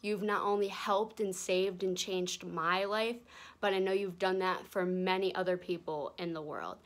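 A woman's voice: lowish voice, steady tone, moderate pace. A young woman in an armchair indoors recording an earnest, sincere congratulations message into a handheld phone camera. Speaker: fan sending a video message.

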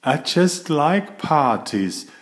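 A man voicing an eager, bossy little sister in a storybook, with excitable sing-song exclamations. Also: a little high, very slow, voice pushed up, full character voice. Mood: sweet.

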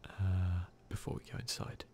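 A man hosting an ASMR video game playthrough, commenting in a hushed voice that drifts between soft speech and whispering, close to the microphone. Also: low voice, steady tone, moderate pace. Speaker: gaming video host.

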